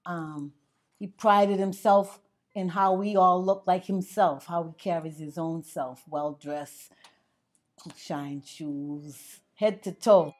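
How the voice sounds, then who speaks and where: A woman's voice: lowish voice, steady tone, a little mellow. An older woman fondly remembering her former pastor and his wife in an interview. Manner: fond, measured. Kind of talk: interview reminiscence.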